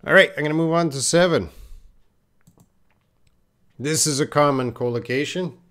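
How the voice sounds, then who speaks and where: A man's voice: fairly high pitch, normal speed, fairly bright tone. A man teaching English in a live online lesson, patiently reading out viewers' answers and correcting them with repeated example phrases.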